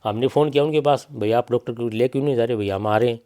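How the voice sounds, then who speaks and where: A man's voice: medium pitch, very fast, mellow. Man, middle-aged, Haryanvi accent, mid-range voice, insistent repetitive account, interview testimony. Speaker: bereaved father giving an interview.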